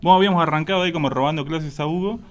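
A man's voice: a little high, rapid-fire. A man, joking and telling stories on a radio show, laughing often. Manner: playful, storytelling, laughs often. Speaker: band member guest. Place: radio studio.

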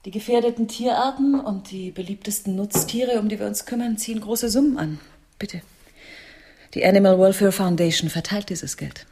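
An actress playing a condescending sister-in-law in a radio drama, falsely sweet and mocking. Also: female voice, medium pitch, moderate pace, dark tone, husky. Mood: cool, smooth. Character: Andrea, condescending, sugary-sharp sister-in-law running a charity. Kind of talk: radio play acting.

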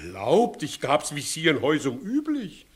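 An actor playing a sly, blustering village judge in a classical German comedy radio play, wheedling and evasive. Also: male voice, fairly high pitch, wildly swooping pitch, moderate pace. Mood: sly, submissive. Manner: blustering, wheedling, swinging between muttering and shouting.

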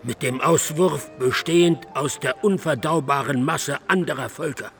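A man's voice: a little high, moderate pace, vocal fry, soft background music. An actor in a German radio drama playing a distraught, guilt-ridden man, brooding and declamatory, who repeats himself in agitation. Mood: disgusted, anguished. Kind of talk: radio drama acting, literary monologue.

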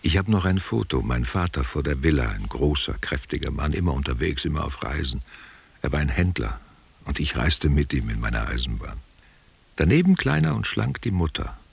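A man playing a nostalgic, tender old man in a literary radio play, husky and gravelly, speaking intimately and reflectively. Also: a bass voice, sing-song, moderate pace, full character voice. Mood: wistful reminiscing. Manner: intimate, reflective, drifting between memory and direct address. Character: nostalgic old man, former only child, tender and wistful.

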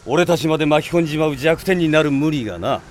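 An actor playing a blunt, gruff male mercenary with a rough, confrontational way of talking in a radio drama. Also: fairly high pitch, fast, close to an everyday voice.

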